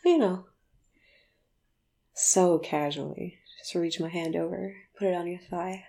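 Slow, breathy whispering woman. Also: fairly low pitch, fairly even intonation. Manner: slow, teasing, sighs and soft laughs between phrases. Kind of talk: intimate whispered audio roleplay monologue.

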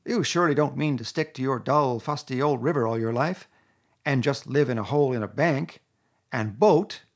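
A man voicing a boastful, excitable male toad in a storybook reading, loud and gushing. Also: mid-range voice, moderate pace, lightly acted.

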